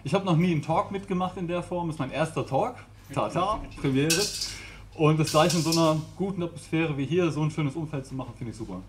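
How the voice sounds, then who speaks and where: A man's voice: fairly high pitch, a little fast. Man, 30s, from the Rhineland, facilitator, casual live talk, handheld mic, small event room, faint background hum. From Rhineland, Germany. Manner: chatty, laughs often, gestures. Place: small brick-walled event room with audience.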